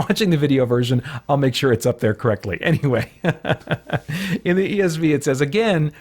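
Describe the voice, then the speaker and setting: A man hosting an informal Bible study for an online audience, talking in a casual, halting way as he thinks aloud with frequent asides. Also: a little high. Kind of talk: informal sermon, conversational Bible teaching.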